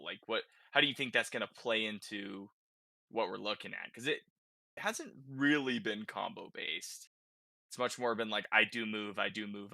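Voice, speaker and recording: Guy hosting a casual gaming podcast, super bright punchy voice, dry sound, keeps saying right?. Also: on the low side, vocal fry, no echo. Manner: conversational, often tags statements with "right?". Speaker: podcast host.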